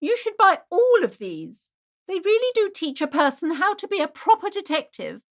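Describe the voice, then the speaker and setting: An actress playing an anxious, prim, superstitious middle-aged bookshop owner in a video game, fussy and theatrically earnest. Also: female voice, extremely high pitch, sing-song, normal speed, full character voice. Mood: fussy, selling. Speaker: shopkeeper. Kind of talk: video game character dialogue.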